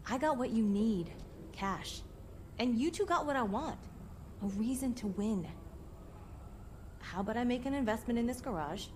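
A woman's voice: fairly high pitch, a little hiss or hum. Cheeky, wry young female hustler. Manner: wry, teasing, slangy. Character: cheeky, self-assured young woman, wheeler-dealer racing fan. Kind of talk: video game voice acting, casual banter.

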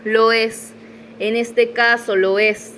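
Woman acting imperious, high-voiced soap-opera mother. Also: level tone, takes it slowly, a bit bright, slight background noise, poor audio. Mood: desperate, pleading. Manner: haughty, melodramatic. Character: imperious, desperate mother confronting her former lover.

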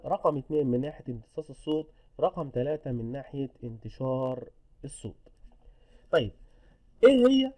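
A man's voice: a little high, warm tone. A man with an Egyptian Arabic accent giving an explanatory technical lecture, mixing in English terms, repeating points and checking that listeners follow. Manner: didactic, repeats points and checks understanding with tag questions. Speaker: engineering instructor.

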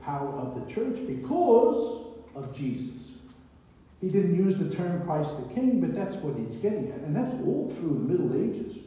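A man's voice: a little high, warm tone. An American man in his seventies giving an informal, digressive sermon in a conversational way, often checking in with "right?". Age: 70s. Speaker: preacher. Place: small church hall indoors. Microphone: far camera or phone. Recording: echoey hall, distant camera microphone.

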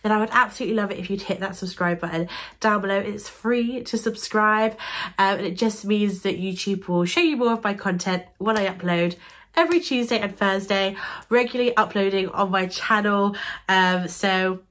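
A young British woman chats excitedly to camera, her voice thick and nasal from a cold. She gushes and laughs often as she talks. She sits close to the camera in a small bedroom. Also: mid-range voice, normal speed. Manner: bubbly, gushing, laughs often. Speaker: beauty and fashion YouTuber. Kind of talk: chatty product-review vlog. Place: bedroom, seated on a sofa, indoors.

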